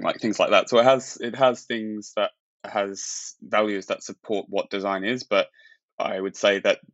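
A low-voiced man from Australia speaking in a flat, even tone as a guest in a casual interview. He talks in halting bursts, often restarting his sentences and leaning on fillers. The audio is dry and dull, like a lo-fi remote recording. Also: dry recording. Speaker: UX designer, podcast guest.

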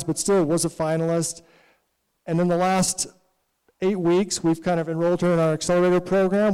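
A man hosting a startup event who introduces the next presenter. His voice is smooth and resonant and a little high for a man, and his delivery is upbeat and informal. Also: a little mellow, poor audio. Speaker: event host introducing a speaker. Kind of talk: stage introduction.

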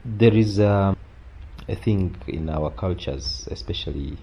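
A man's voice: low voice, unhurried, dark tone. A man from western Uganda with a Ugandan accent, giving a reflective personal account as an interview guest.

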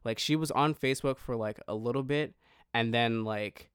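A man's voice: medium pitch, moderate pace, a bit bright, clean tone, no room sound, studio quality. A man in his twenties from New York and New Jersey with an American accent, chatting casually and slangily as a podcast co-host, rambling and often breaking into his own sentences. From New York and New Jersey, United States. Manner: rambling, slangy, self-interrupting, heavy use of "like". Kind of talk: casual chat.

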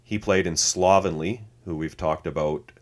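A man's voice: low voice, normal speed. A man co-hosting a podcast, chatting casually, reading notes aloud and laughing easily. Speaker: podcast co-host.

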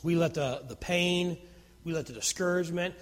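A man preaching a sermon as pastor, with a naturally loud voice that swings from fervent emphasis to hushed near-whispers. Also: fairly high pitch, normal speed, in a large hall.

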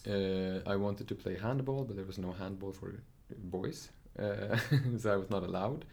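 A man's voice: lowish voice, measured pace, creaky, a little mellow. A young man from Norway, a dancer, talking through his story in a casual interview, thoughtful and hesitant with many fillers and restarts.

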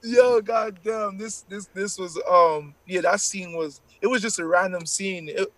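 Man, French Canadian accent, native French speaker, notably high voice, rambling slangy interview chat, repeats words. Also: unhurried, fairly bright tone, no room sound. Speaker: rapper, interview guest.

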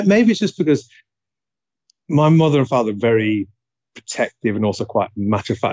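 Yorkshire guy chatting as a guest, kinda low voice, dead dry lo-fi sound. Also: a little mellow, no echo. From England, Leeds. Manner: reflective, frequent filler hesitations. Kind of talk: casual interview talk.

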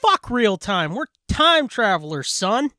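A man's voice: high-pitched, sing-song, slow pace, bright, forceful tone, no echo. A man co-hosting a podcast in casual comic banter, crude, profane and joking. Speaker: podcast co-host.

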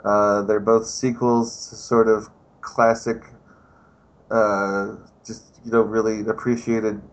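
A man's voice: lowish voice, flat delivery, takes it slowly, low-quality recording. A young man guesting on a podcast, joking casually with lots of hesitant fillers.

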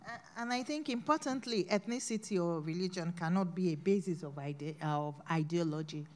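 A woman speaking on a discussion panel, explaining quickly with small restarts. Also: on the low side, a little mellow.

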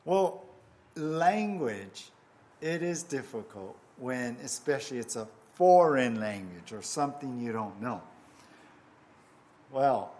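A man whose first language was Japanese, a pastor opening with prayer and then giving a conversational, self-deprecating sermon full of restarts. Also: medium pitch.

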